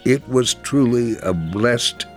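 A man reading a scripted first-person religious narrative in a measured, deliberate, earnest way. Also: medium pitch, lively intonation, loud music behind the voice. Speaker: reader of a first-person testimony.

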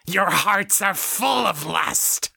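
A man's voice: sits high, expressive, takes it slowly, gravelly, bright, forceful tone, husky, no echo, clean studio sound, voice pushed up, full character voice. A man voicing a teenage girl who puts on a sneering, mocking demon voice. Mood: mocking malice.

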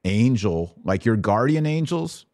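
Male podcast host, low pitch, dry sound, colloquial explainer of religious questions. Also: very animated, a little slow, darkish tone, no echo. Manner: explanatory, colloquial, emphatic repetition. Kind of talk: informal Bible question-and-answer teaching.

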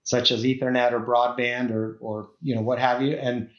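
A man's voice: medium pitch, little pitch movement, moderate pace, roomy sound, dull audio. A middle-aged man from the Czech Republic, a guest executive talking in a podcast interview, hesitant, with many fillers and restarts.

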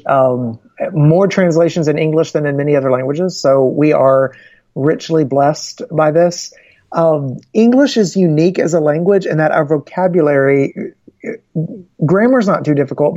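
A man answering interview questions as a guest from a Bible publishing ministry, in an earnest, measured way that builds each point step by step. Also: fairly high pitch, dark tone, no echo. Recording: slightly dull, lo-fi sound.

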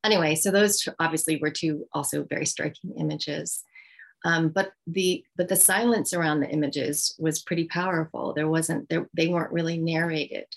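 Middle-aged woman author, reflective interview, deep fry in the voice, hesitant. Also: steady tone. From United States. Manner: thoughtful, halting, many ums. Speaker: author guest.

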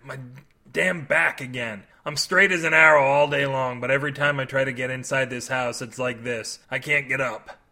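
A man voicing an aching, sheepish middle-aged man with a bad back, speaking in a low, hushed murmur. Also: steady tone, normal speed. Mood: pained. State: in pain from his back.

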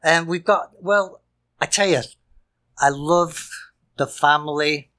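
A man's voice: on the high side, takes it slowly, bright, forceful tone. A man co-hosting a music show with casual, jovial and rambling banter. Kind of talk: casual chatty radio-style banter.

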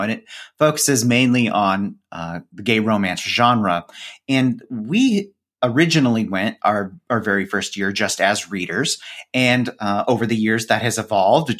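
A man speaking as a podcast guest in a conversational interview, enthusiastic, with measured phrasing and elaborate word choices. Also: lowish voice, a bit bright.

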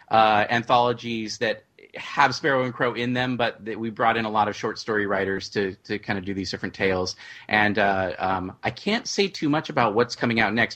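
Bright-voiced, low-pitched man talking shop. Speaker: audio drama writer and producer, panel guest. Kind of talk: casual panel discussion.

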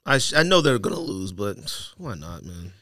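A man's voice: fairly low pitch, sing-song, moderate pace, sharp tone, no room sound, clean studio sound. A man co-hosting a podcast, casually bantering about sports picks in slangy, opinionated language. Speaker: podcast co-host.

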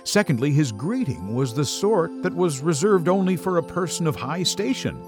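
A man's voice: on the high side, lively intonation, moderate pace, music in the background. A man narrating a scripted Bible story in a dramatic storytelling style.

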